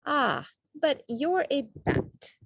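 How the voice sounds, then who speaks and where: Pedantic stranger bat, voiced by a woman, brash, inquisitive, correcting, storybook character, lively intonation. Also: high voice, very slow, gravelly, no echo, voice pushed up, full character voice. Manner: loud, matter-of-fact, correcting. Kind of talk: children's storybook read-aloud.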